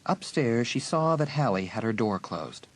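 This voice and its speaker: A man's voice: medium pitch, lively intonation. A man narrating a horror audiobook in a dramatic, suspenseful scripted style. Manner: suspenseful, deliberate emphasis.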